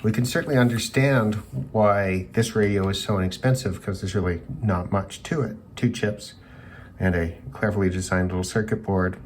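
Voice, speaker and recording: Low-pitched man thinking aloud, noisy recording. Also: steady tone, moderate pace. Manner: musing, thinking aloud, tentative. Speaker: hobbyist reviewer of radios. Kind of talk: unscripted electronics teardown commentary.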